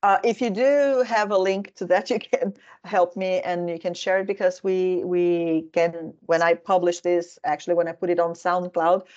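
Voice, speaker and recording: A woman's voice: on the low side, steady tone, normal speed, hardly any pauses, darkish tone, highs cut off. A woman hosting a casual discussion, chatty and digressive, with many fillers, from Brazil. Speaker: host.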